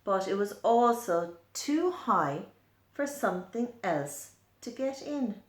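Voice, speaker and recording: Female children's storyteller, unhurried and animated, explanatory asides, roomy sound. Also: medium pitch. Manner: slow, explanatory, pointing things out to young listeners. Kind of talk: expressive children's picture-book read-aloud with asides. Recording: echoey room.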